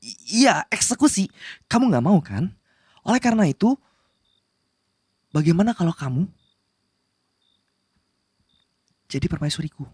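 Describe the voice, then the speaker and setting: A woman's voice: lowish voice, sing-song, normal speed, pauses often, darkish tone, slightly rough, no echo, clean studio sound. A woman playing a possessive, lovestruck young male demon prince, bossy yet flustered, in a romantic roleplay spoken to the listener. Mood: earnest, coaxing. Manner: insistent and flustered, pleading between commands. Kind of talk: romantic ASMR roleplay acting addressed to the listener.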